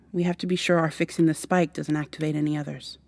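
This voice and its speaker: Actress as earnest female time traveler for ASMR drama: mellow, close and dry, protective, slightly flustered and long-winded. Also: deep voice, flat delivery, brisk, vocal fry, no echo. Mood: earnest, explaining. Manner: hesitant, rambling, gently reassuring, some whispering. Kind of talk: ASMR roleplay, softly acted monologue to the listener. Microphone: close binaural microphone.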